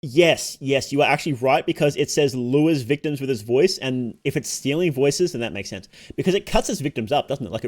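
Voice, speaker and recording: A man giving casual livestream commentary, thinking aloud as he goes, musing and questioning himself. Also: mid-range voice. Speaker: streamer reacting to horror videos.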